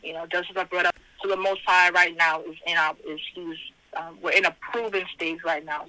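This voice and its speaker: A slightly hoarse man giving an informal Bible study over a conference phone line, reading verses aloud and explaining them in hesitant, repetitive phrasing. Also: fairly high pitch, bright, forceful tone.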